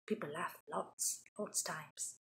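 A woman's voice: deep voice, slow pace, vocal fry, pushed and bright, full character voice. A woman putting on a boastful, crude foreign entertainer persona with a put-on foreign accent, rambling and slipping into half-whispers in an improvised comedy monologue. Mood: puzzled.

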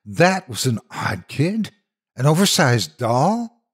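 Man voicing a frightened little girl, horror storytelling, raised pitch, panicky, husky. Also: sing-song, slow, exaggerated voice. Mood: raw terror. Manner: panicked, fearful.

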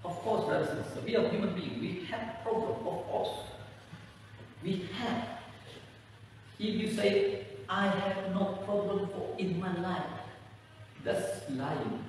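A middle-aged pastor, a native Indonesian speaker, preaches slowly in a high voice, leaning on each phrase. He swings between quiet asides and loud rises as he paces and gestures. He sounds distant from the mic in a big reverberant community hall. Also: a little hiss or hum. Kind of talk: sermon. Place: large bare community hall, indoors. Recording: echoey hall, voice far from the mic.

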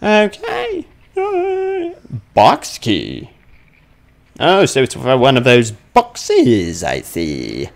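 A man with a creaky voice comments casually on a game as he plays. His pitch swings around freely while he thinks out loud at an unhurried pace, grumbling and tossing in playful exclamations. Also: huge pitch swings. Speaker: gamer playing a horror game on his channel. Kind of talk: casual let's play commentary, thinking aloud.

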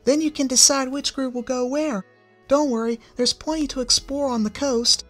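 A man voicing a shy, gentle female pegasus teacher who speaks softly and hesitantly. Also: very high-pitched, fairly even intonation, moderate pace, faint music behind, pitched above the performer's own voice. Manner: soft, hesitant, stammering.

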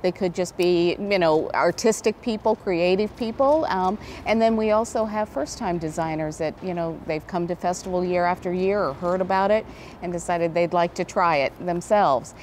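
A middle-aged American woman speaks in a slightly low voice, explaining things conversationally as an interview guest. She is on a lapel mic in a large indoor space, with some ambient noise behind her. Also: normal speed, a little mellow. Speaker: event director, interview guest. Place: large indoor event hall.

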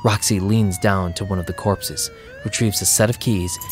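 A man's voice: on the low side, steady tone, moderate pace, noisy background, natural voice. An actor playing a harried, irreverent young male vampire DJ, narrating his own story in an audio drama. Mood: tense, wry. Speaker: narrator and lead character. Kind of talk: first-person audio drama narration and dialogue. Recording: music bed under voice.

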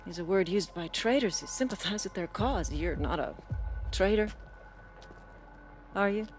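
An actress playing a middle-aged government commander in a game, measured, condescending and quietly threatening. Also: female voice, medium pitch, expressive, faint music behind, close to an everyday voice. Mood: icy menace. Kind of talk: video game cutscene acting.

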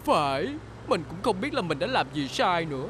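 An actor voicing a young, eager small car in a children's cartoon dub, argumentative yet well-meaning and expressive. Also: medium pitch, normal speed, noisy background, close to an everyday voice. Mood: worried.

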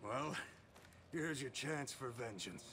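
An actor playing a grim veteran knight in a video game cutscene, grave and resigned. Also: male voice, medium pitch, takes it slowly, bright, forceful tone. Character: grim, war-weary veteran male knight. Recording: background music under the dialogue.

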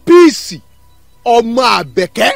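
A man's voice: very high voice, big pitch swings, normal speed, vocal fry, no room sound, faint music behind, full character voice. An actor with a Yoruba accent playing a man who repeats a diviner's incantations after him. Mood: solemn. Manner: repeats words after another.

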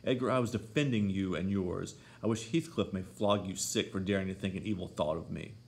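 A man with a deep, gravelly voice voicing a proud, fiery young lady of the manor in an audiobook, scornful and imperious. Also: fairly quick, a little mellow, slight background noise. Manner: scornful, imperious, rising to shrill pleading. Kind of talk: audiobook character voice.

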